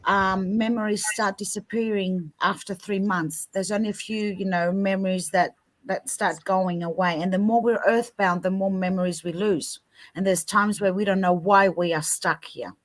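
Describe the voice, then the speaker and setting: A woman's voice: medium pitch, fairly even intonation, moderate pace. A middle-aged woman from Australia with an Australian accent, a talk show guest who speaks in a sympathetic, emphatic way. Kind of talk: casual chat.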